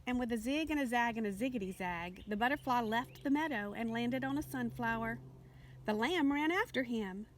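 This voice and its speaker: A woman reading a children's picture book aloud as an expressive storytelling narrator. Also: fairly high pitch, normal speed, slight background noise. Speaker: storybook reader.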